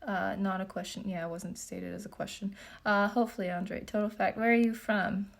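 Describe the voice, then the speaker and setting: A young woman from Japan chatting casually through a livestream Q&A, reading comments aloud while doing her makeup, relaxed and full of fillers. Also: mid-range voice, brisk, vocal fry. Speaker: beauty vlogger.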